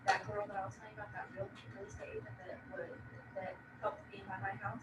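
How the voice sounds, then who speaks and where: A woman's voice: medium pitch, level tone, fast. Chatty woman student, far off. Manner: chatty, asks questions. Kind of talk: classroom questions and chat. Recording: distant, echoey, loud background noise.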